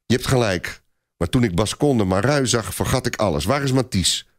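A man's voice: fairly low pitch, lively intonation, brisk, no room sound, clean studio sound, natural voice. A man voicing a grim, short-tempered medieval knight commander in an audio story, snapping and curt.

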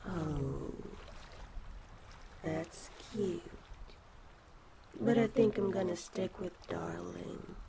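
A woman playing a giant, possessive female kraken in a sweetly menacing, coaxing ASMR roleplay, with drawn-out, intimate delivery. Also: fairly low pitch, lively intonation, over a noisy crowd, full character voice. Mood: adoring, possessive.

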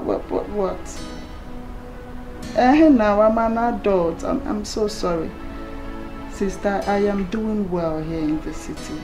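A woman's voice: medium pitch, lightly acted. An actress playing an earnest, apologetic young woman in her late twenties in a film drama, somewhat hesitant, with a Nigerian Igbo accent. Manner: hesitant, repeating words. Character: earnest, apologetic young city-working daughter.

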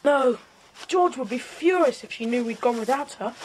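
A woman's voice: a little high, expressive, normal speed, natural voice. A woman playing a keen, sensible English schoolboy leader in a children's audio drama, with a British accent. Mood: thoughtful. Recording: faint noisy 1990s cassette audio drama.

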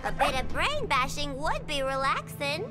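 An actress playing a zany, bratty young villainess in a video game, slangy, mocking and playful. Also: female voice, high-pitched, sing-song, moderate pace, loud music behind the voice. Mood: cheeky.

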